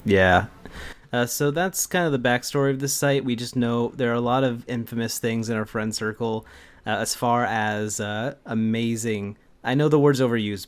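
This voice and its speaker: A young adult man talks casually as a video co-host. His voice sits at an ordinary middle pitch. He keeps the delivery informal and conversational, happy to curse. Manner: informal, swears freely. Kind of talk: casual chat introducing a video.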